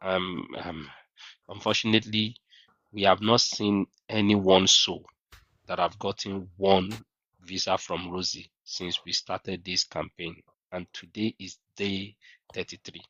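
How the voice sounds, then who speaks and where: Male livestream host, very low voice, questioning; dry, muffled lo-fi audio. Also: level tone, measured pace, sharp tone, no echo. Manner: questioning, reads out viewer questions. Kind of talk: livestream interview.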